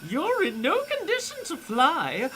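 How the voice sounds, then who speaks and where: A giddy, fiendish mad scientist acted by a woman from a comic radio play, pitched extremely high with wild melodic swings. The delivery is slow, bright and theatrical, cloying and scheming in stiffly formal phrases. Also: huge pitch swings, faint music behind, full character voice. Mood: cloying, menacing.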